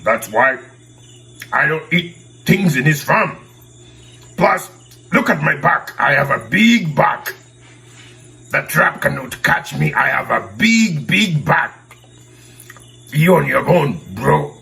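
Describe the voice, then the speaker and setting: A man's voice: on the high side, lively intonation, slow, creaky, pauses often, pushed and bright, pitched below the performer's own voice, heavily acted. A man voicing a complacent, unhelpful cow in a fable, blunt and boastful. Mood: smug refusal. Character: complacent male cow, self-satisfied, unhelpful.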